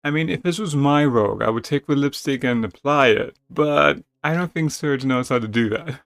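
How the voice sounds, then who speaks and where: Expressive man reading a text game aloud. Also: medium pitch, moderate pace, clear, resonant tone. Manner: expressive, acting out dialogue. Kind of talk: dramatic reading of a text adventure with casual asides.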